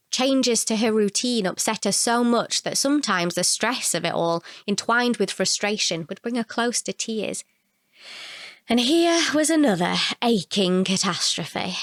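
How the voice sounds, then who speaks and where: A woman reads a short story as its narrator. Her voice sits at an average pitch for a woman. The sound is dry, with very little room in it. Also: no echo.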